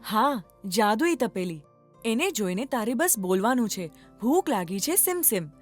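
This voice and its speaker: A woman voicing a gracious, wise fairy in a children's cartoon story, with a measured, instructing manner. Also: a little high, very animated, faint music behind. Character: gracious, wise magical fairy granting wishes.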